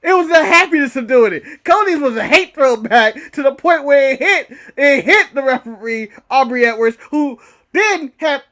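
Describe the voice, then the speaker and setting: High-pitched American man bantering. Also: moderate pace. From United States. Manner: animated, repeats phrases for emphasis. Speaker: wrestling podcast co-host. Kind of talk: casual banter and wrestling commentary.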